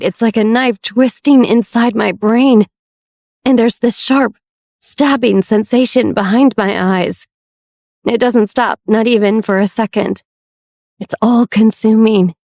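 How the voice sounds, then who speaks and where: A woman voicing a young woman in severe pain, weak and raspy, pleading in halting, broken phrases. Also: fairly high pitch, a little mellow, no room sound, clean studio sound. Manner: halting, breaking off and repeating words.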